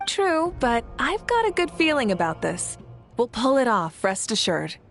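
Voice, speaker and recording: A woman's voice: on the high side, very animated, a little slow. An actress voicing a confident, easygoing female leader in a video game, assured and informal in her reassurance. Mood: reassuring, confident. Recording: background music under dialogue.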